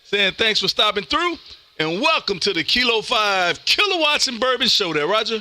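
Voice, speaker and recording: A man's voice: high voice, very animated, normal speed, noisy background. An American man from Texas hosting an amateur radio stream with casual, folksy chat and call-sign jargon. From Texas, United States. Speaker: amateur radio livestream host.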